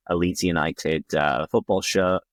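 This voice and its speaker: A man hosts a relaxed chat, teasing his guest playfully. His voice is fairly low. The sound is dry and close-miked. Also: unhurried, no echo. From England, Bingley near Bradford. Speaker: host, English teacher. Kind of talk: casual interview.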